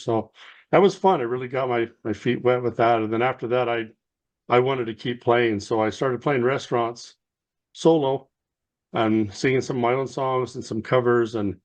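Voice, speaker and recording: A middle-aged man, a musician guest with a low voice, telling stories in a relaxed, rambling way with lots of fillers. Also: low-quality recording. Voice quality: lower voice. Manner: rambling storyteller, many fillers and restarts. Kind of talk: casual interview storytelling.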